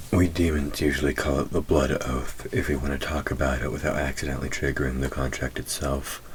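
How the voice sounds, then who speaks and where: A man playing a wry demon hunter who is himself a demon, teasing yet protective, speaking intimately and with measured sarcasm in an audio roleplay. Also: very deep voice, a little hiss or hum. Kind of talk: scripted audio roleplay spoken to the listener.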